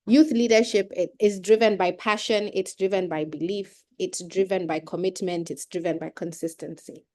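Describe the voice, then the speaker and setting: A woman's voice: lowish voice, steady tone, moderate pace, darkish tone. Woman with a cold on a video call. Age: young adult. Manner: chatty, informal, many fillers and restarts. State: has a cold. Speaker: keynote speaker. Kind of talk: casual speech on a video call.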